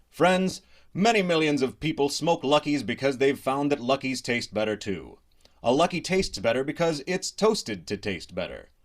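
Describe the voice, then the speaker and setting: Male announcer, hearty, emphatic, lively pitch, old-time radio ad. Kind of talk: 1950s radio commercial reading.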